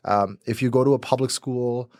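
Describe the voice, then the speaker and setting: A man's voice: mid-range voice, fairly even intonation, normal speed, a little mellow, no room sound, studio quality. A young man from Pakistan whose first language is Urdu, talking casually as a podcast guest with many restarts and fillers. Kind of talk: casual conversational interview.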